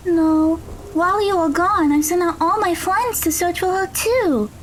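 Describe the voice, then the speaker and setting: A woman's voice: extremely high pitch, measured pace, slight background noise, poor audio. A woman playing a concerned, loyal teenage girl in an audio drama. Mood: worried, guilty.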